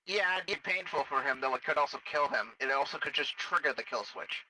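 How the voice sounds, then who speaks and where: Man playing a worried technician, very bright sharp voice, slightly high, hesitant, explanatory, sci-fi game role-play. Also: a little fast, dry recording, far from the mic, rough recording. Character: worried, cautious technician analysing cybernetic implants.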